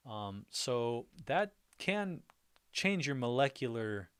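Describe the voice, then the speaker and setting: A man's voice: medium pitch, big pitch swings, slow pace, a bit bright, smooth. A man hosting a casual livestream, reading viewer comments aloud, thinking them over and laughing often between remarks. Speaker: livestream host. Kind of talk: casual livestream reaction chat.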